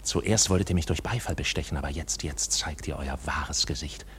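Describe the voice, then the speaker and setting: A man's voice: a bass voice, moderate pace, a little hiss or hum. A man in a German radio play acting a proud, defiant accused bank officer in a theatrical, indignant manner. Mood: bitter, accusing.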